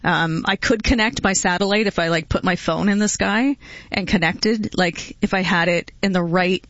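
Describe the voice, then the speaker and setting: A woman's voice: on the low side, steady tone, moderate pace, fairly bright tone, dry recording, dull audio. A middle-aged woman from Canada hosting a casual radio chat, talkative and laughing often between stories. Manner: chatty, laughs often, many fillers. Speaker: radio host.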